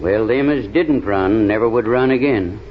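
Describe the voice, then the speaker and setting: A man's voice: fairly low pitch, normal speed, lightly acted. Folksy backwoods adventurer played by a man, drawl, plain-spoken yarn-spinner, dull hissy vintage radio. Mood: recounting adventures. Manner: drawling, folksy, ungrammatical speech. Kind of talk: 1930s radio serial acting. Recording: dull, noisy old radio recording.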